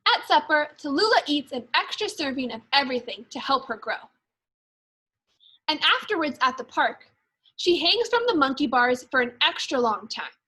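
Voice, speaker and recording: A woman teacher reading a storybook aloud for young children, expressively and in measured phrases. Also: high-pitched, very animated, bright, forceful tone, low-quality recording. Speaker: second grade teacher.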